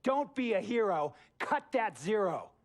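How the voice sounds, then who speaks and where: Theatrical bullying billionaire team owner. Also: male voice, sits high, very animated, a little slow, heavily acted. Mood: preachy, cocky. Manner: theatrical, preachy, builds from hushed to shouting. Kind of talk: video game cutscene acting.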